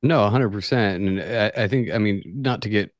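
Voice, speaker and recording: A man's voice: on the low side, normal speed, no echo, studio quality. A man from the United States hosting a podcast in a casual, blunt, joking and sweary way. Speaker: podcast host.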